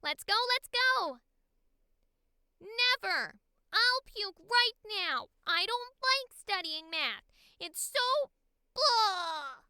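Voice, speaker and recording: Hyperactive little girl for animation: cheerful troublemaker, squeaky-high, piercingly bright, whiny and excitable. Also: extremely slow, no echo, full character voice. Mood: bratty disgust. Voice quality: screechy, squealy. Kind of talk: animated character audition read.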